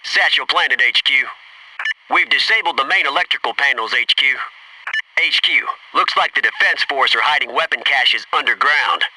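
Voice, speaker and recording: An actor playing an alert, loyal Navy SEAL squad soldier in a military shooter game, giving clipped reports and dry remarks. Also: male voice, medium pitch, normal speed, pushed and bright, distant mic, a little hiss or hum, poor audio. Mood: focused, businesslike.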